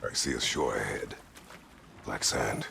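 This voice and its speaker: An actor playing a grim, stoic older warrior in a video game, with a deep gravelly voice and terse, solemn speech. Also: male voice, measured pace, pushed and bright, a little hiss or hum, heavily acted. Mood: grave, guarded. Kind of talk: dramatic video game acting.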